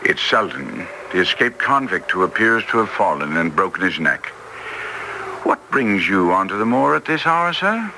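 A man playing a brisk, masterful English detective in classic radio drama style, theatrical and clipped, with an English accent. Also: deep voice, big pitch swings. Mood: cool, guarded. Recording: noisy vintage radio recording.

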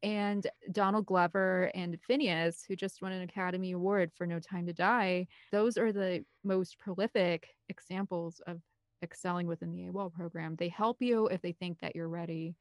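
Woman, podcast guest, slightly low pitch, enthusiastic, rambling casual talk, dry close sound. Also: steady tone, normal speed, dry recording. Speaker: podcast guest, film composer. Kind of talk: casual chat.

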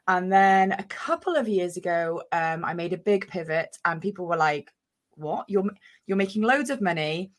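A woman in her thirties from North Wales, chatting as a podcast guest in a rambling way with lots of fillers. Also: medium pitch. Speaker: guest, business owner. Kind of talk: casual interview chat.

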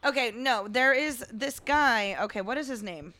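A woman co-hosting a casual comedy podcast, telling stories and slipping in deadpan joke bits. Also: on the high side, normal speed, dry recording. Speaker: podcast co-host.

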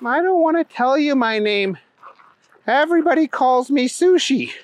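A man's voice: extremely high pitch, lively intonation, normal speed, no room sound, pitched above the performer's own voice. A man voicing an earnest, friendly little girl in a children's story, explaining things in a childlike, matter-of-fact way. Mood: shy, earnest.

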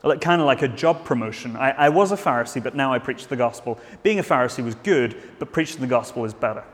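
A man's voice: mid-range voice, lively intonation, fast talker, long reverb tail. A man preaching a sermon in a church, earnest, with frequent restarts and fillers.

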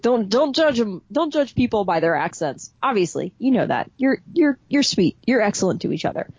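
A woman's voice: mid-range voice, very animated, normal speed, darkish tone, breathy edge, no echo. A woman with a Baltimore accent co-hosting a podcast, chatting bluntly with jokes and casual swearing. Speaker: podcast co-host. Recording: dry, slightly dull lo-fi audio.

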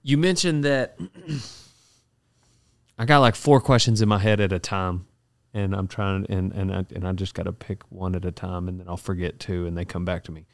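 Guy hosting a podcast, smooth voice on the deeper side, just casually asking questions. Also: fairly even intonation, moderate pace, no room sound, clean studio sound. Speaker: podcast host, interviewer. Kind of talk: casual conversational interviewing.

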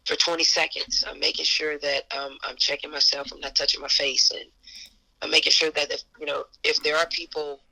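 A hoarse woman with a gravelly edge, talking as a basketball coach in an interview. Her voice is forceful and bright but stays flat in melody. She speaks earnestly and repeats phrases for emphasis. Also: sits low, brisk, poor audio. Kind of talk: casual interview answers.

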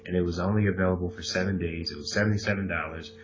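A man's voice: low voice, fairly even intonation, very fast. A man co-hosting a podcast, chatting casually and animatedly, often laughing and acting out quotes. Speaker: podcast co-host. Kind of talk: casual conversation. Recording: dull lo-fi recording.

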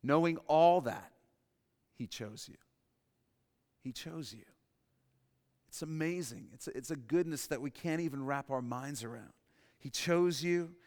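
Guy preaching in a big echoey church, smooth clear voice, keeps repeating the big lines. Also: fairly high pitch, measured pace, pauses often. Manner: emphatic, repeats key phrases, drops to soft emphasis. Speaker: pastor. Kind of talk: sermon. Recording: long reverb in a large hall.

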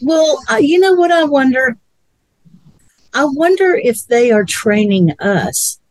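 A woman muses in a slow, unhurried way, often repeating herself as she works out her thoughts. Her voice sounds close and dry, with no echo. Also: sits high, lively intonation, a little mellow. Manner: musing, repeats words while thinking. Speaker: co-host. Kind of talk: casual chat.

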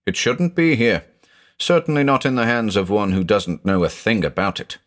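Deep, grave investigator acted by a man. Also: moderate pace, natural voice. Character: earnest, nervously brave male investigator. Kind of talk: audio story character acting.

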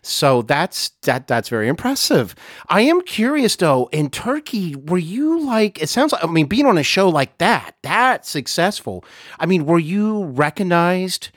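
Man, podcast host; stumbling, searching questions; a bit high, dry close sound. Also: sing-song, no room sound. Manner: halting questions with stammers and fillers. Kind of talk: podcast interview.

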